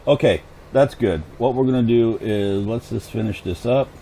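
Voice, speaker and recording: A man casually commenting on his own farming game as host, thinking aloud as he plays, his voice hoarse and going. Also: mid-range voice, normal speed, warm tone, slight background noise. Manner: chatty, thinks aloud while playing. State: hoarse, clearing throat. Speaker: gaming channel host.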